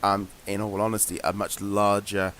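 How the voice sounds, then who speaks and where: A man's voice: fairly low pitch, flat delivery, moderate pace, a bit bright. A man co-hosting a webcast, chatting casually and offering his opinions. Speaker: webcast co-host. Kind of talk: casual chat, giving opinions. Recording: hissy, noisy online webcast audio.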